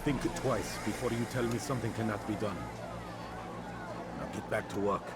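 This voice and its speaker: An actor playing a stern boss, commanding and menacing in a dramatic video game scene. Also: male voice, on the low side, fairly even intonation, normal speed, close to an everyday voice. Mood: cold menace. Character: stern, threatening male boss addressing his men. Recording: loud music and crowd noise behind.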